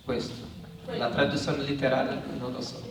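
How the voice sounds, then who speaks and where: A man's voice: mid-range voice, level tone, moderate pace. A man, a priest interpreting live for a congregation, hesitant and searching for words, in a church. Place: large reverberant church. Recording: echoey church, distant mic, faint noise.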